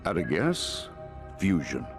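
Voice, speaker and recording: A man's voice: sits low, huge pitch swings, slow pace, creaky, exaggerated voice. A man playing a wry, matter-of-fact scientist in a video game cutscene, speaking drily. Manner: dry, wry. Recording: voice under music.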